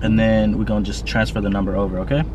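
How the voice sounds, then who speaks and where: A man in his twenties talking to camera in casual, animated slang. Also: fairly low pitch, quick pace. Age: 20s. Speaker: prank-call vlogger. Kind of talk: casual video banter to camera. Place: inside a parked car. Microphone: camera at arm's length or on dashboard. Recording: noisy car interior.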